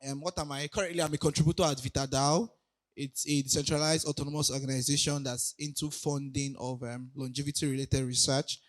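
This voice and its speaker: A young man with a raspy voice from recent illness, giving a casual conference talk full of hesitations. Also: medium pitch, level tone, fairly quick. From Nigeria. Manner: hesitant, many ums and restarts, laughs lightly. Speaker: conference speaker. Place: conference hall.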